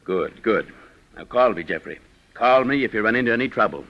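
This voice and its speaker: An actor playing a blustering, unctuous detective agency boss, pompous and placating, in a 1940s radio drama. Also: male voice, on the low side, lively intonation, a little fast. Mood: pompous, businesslike. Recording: dull old radio recording.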